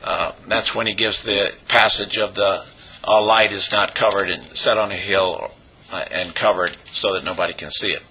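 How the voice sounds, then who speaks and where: A man with a raspy voice, hoarse from a cold, preaching a sermon as pastor with many pauses and fillers. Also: low voice, fairly even intonation, bright, forceful tone, lo-fi sound, a little hiss or hum.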